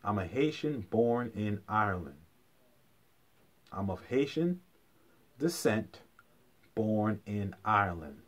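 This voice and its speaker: A man's voice: on the low side, sing-song, takes it slowly, pauses often. A man giving an informal explanatory lecture in colloquial speech, emphatically repeating key phrases and asking rhetorical questions.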